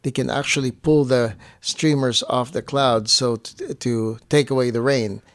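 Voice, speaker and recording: Man, mid-range voice, casual explainer, halting delivery, very dry recording. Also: mid-range voice, steady tone, no echo. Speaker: co-host and filmmaker.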